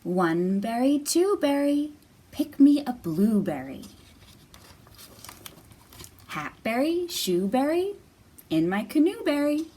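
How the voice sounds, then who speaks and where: A woman's voice: sits high, big pitch swings, slow pace, long pauses, warm tone. A woman hosting storytime for young children, teaching and reading aloud in simple, repetitive, encouraging phrases, recorded in a library room. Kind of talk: instructional talk and picture-book read-aloud for young children. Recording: distant mic, noisy room.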